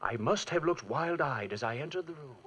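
An actor playing an obsessed, anguished scientist in a 1940s radio drama, with intense dramatic delivery. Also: male voice, fairly high pitch, animated, a little fast, a bit bright, a little hiss or hum. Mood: haunted narration. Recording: old 1940s radio recording.

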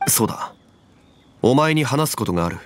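An actor playing a composed, dignified, knowledgeable man in an anime game, speaking formally and deliberately. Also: mid-range voice, lively intonation, slight background noise, lightly acted. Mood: calm, courteous.

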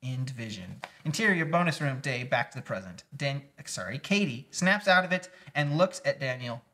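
A man's voice: medium pitch, expressive, normal speed, sharp tone. A young man casually reading script directions aloud at a table read. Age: young adult. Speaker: stage-direction reader. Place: small indoor room at a table.

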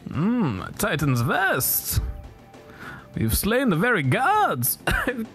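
A man playing a video game and riffing with a co-host, improvising silly gravelly character voices. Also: high voice, wildly swooping pitch, extremely slow, fairly bright tone, faint music behind. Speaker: game player. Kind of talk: comedic let's play banter.

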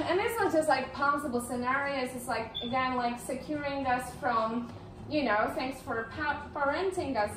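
A woman's voice: sits high, background noise. A young woman giving a casual presentation at a meetup, chatty and full of fillers, laughing often as she talks. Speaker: speaker at a meetup. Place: large indoor room with projector screen. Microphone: far camera, no visible mic. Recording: echoey room audio from far off.

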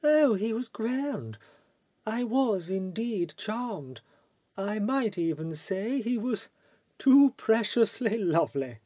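A vivacious society lady of the stage, put on by a male audiobook reader, gushing and theatrical. The voice is lifted high and light, and taken at an unhurried pace. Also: big pitch swings, mellow, dry recording, exaggerated voice. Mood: gushing admiration.

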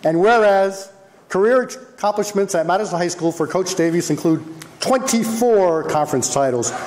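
A man in his sixties, a mayor, reading a proclamation at a council meeting, a bit halting and correcting himself, picked up by a distant camera. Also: sits high, lively intonation, a little mellow. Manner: halting, self-correcting, chuckles at his own slips. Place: large formal council chamber, indoors. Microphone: handheld mic, then unamplified, camera across the room. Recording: echoey hall, distant camera.

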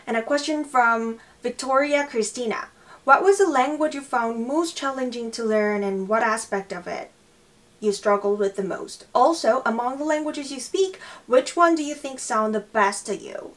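A woman's voice: a little high, expressive, a little slow. A young woman with a Korean accent, her native language, answering viewer questions in a casual vlog from a small room. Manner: reads questions, then answers cheerfully. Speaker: language vlogger. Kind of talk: casual Q&A to camera. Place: small bright room indoors.

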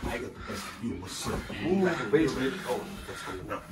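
Young dude rattling off mumbled cusses, loud noise all over it. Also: male voice, medium pitch, big pitch swings, a little fast. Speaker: friend on a group trip. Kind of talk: casual banter among friends. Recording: very noisy phone recording.

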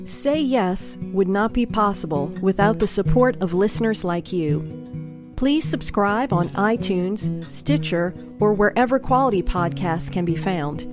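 A woman's voice: mid-range voice, animated, unhurried, over music. A woman narrating and announcing a radio play in a scripted style.

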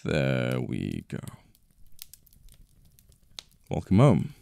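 Very deep, slow British mafia boss, acted by a man. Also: very animated, noisy background, full character voice. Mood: teasing, welcoming. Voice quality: deep, gravelly. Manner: slow, intimate, breathy sighs and pauses. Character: possessive, protective male mafia boss, teasing and tender. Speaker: voice actor. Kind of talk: ASMR romance roleplay. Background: birdsong, outdoor ambience effects.

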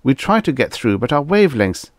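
A man's voice: mid-range voice, very animated, fairly quick, dry recording, clean studio sound, pitched above the performer's own voice, natural voice. Man putting on a fussy, fawning diplomatic aide. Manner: fawning, hesitant, wordy. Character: fussy, obsequious male diplomatic aide speaking officialese. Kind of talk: audiobook character voice, science fiction satire.

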